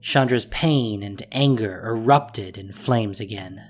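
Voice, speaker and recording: A man's voice: fairly low pitch, takes it slowly. A man reading scripted fantasy story narration as the narrator of an audio drama. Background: music.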